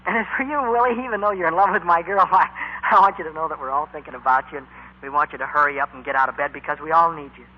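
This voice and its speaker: A man's voice: a little high, fast, natural voice. A young American man playing an eager, earnest teenage bandleader, stammering and breathless, in 1940s radio acting style. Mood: grateful, moved. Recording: muffled, hissy 1940s radio recording.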